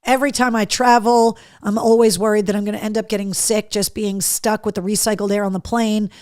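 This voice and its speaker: A middle-aged woman hosting a live show, chatting casually and candidly with viewers in a slightly hoarse voice, with a cheeky streak. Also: mid-range voice, flat delivery, fairly quick, darkish tone, no echo, clean studio sound. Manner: candid, cheeky, mildly sweary. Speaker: podcast and livestream host. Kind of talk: casual live chat to viewers, reading out comments.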